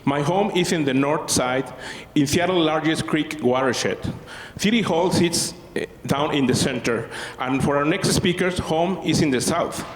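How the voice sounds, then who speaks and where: A man with a slightly high voice speaks in a measured, earnest way from a prepared text. The sound fills a large, reverberant hall. Faint noise runs underneath. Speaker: community organization co-founder. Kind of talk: prepared speech.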